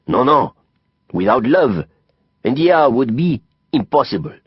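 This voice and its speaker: A man's voice: mid-range voice, very animated, a little slow, breathy edge, dry recording, lo-fi sound, heavily acted. A man voicing a worldly, florid Frenchman with a French accent, opinionated and declamatory, in an audiobook. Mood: grandly emphatic. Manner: emphatic, declamatory, repeats phrases for effect. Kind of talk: audiobook character acting.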